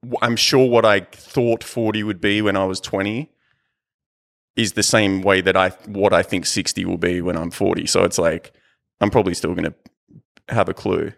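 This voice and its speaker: 40 yr old guy, kinda low gravelly voice, casual chatting, sweary. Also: steady tone, moderate pace, a bit bright. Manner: sweary, informal. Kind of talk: casual chat.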